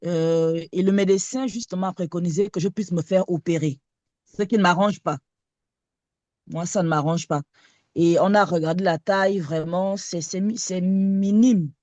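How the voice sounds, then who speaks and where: A woman in her late thirties speaks over an online call in a dark, mellow voice. She talks hesitantly and halts often with fillers. The sound is dry and close. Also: fairly low pitch, fairly even intonation, normal speed, no room sound, muffled recording. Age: 30s. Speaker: caller asking a question. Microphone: online video call.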